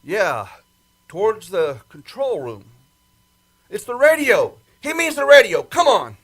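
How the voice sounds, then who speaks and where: An amateur actor playing a placating, hesitant military base official who gets excitable under pressure in a radio play. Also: male voice, high-pitched, unhurried, dry recording, exaggerated voice. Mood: alarmed shouting.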